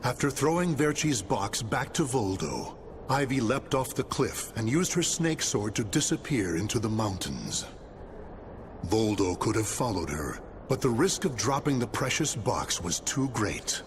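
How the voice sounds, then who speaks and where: A man's voice: lowish voice, big pitch swings, unhurried, background noise. A man giving scripted, dramatic storytelling narration for a fantasy video game.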